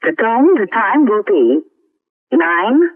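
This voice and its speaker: A woman announcing the time as a recorded speaking clock, in a measured, formulaic delivery over a telephone line. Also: a little high, very animated, dry recording. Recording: thin, phone-like time-signal tape.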